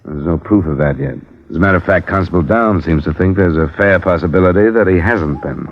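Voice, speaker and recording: An actor playing a cool, probing American insurance investigator in an old-time radio drama, clipped and matter-of-fact. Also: male voice, low-pitched, brisk. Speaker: lead actor and narrator. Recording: muffled vintage radio, music behind.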